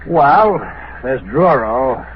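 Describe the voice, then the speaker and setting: A man's voice: medium pitch, lively intonation. An American man acting a sour, world-weary news bureau chief in a mid-century radio drama, sardonic and emphatic. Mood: wary. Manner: sardonic, exclamatory, drawn-out emphasis. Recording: tinny, noisy vintage radio recording.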